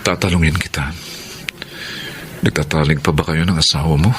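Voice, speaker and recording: Deep-voiced Filipino womanizer. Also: steady tone, normal speed, background noise. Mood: defensive, insistent. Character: smooth-talking, evasive womanizing suitor of a married woman. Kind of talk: Filipino radio drama acting.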